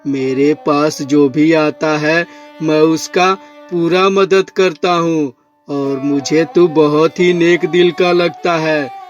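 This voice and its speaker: A man's voice: fairly high pitch, muffled recording, pitched below the performer's own voice. A man voicing a kindly magical capsicum plant in a cartoon moral story, speaking in a solemn, reassuring way. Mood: benevolent, reassuring.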